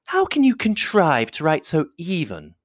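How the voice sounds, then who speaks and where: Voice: medium pitch, huge pitch swings, a little slow, no room sound, clean studio sound, voice pushed up. A reader voicing a fawning young society lady in a classic novel audiobook, effusive and coquettish.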